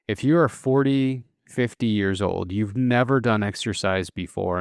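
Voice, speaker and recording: Man, very low voice, smooth and clear, solo podcast host, relaxed explainer, dry sound. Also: no echo. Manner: relaxed and explanatory, with casual fillers and self-corrections.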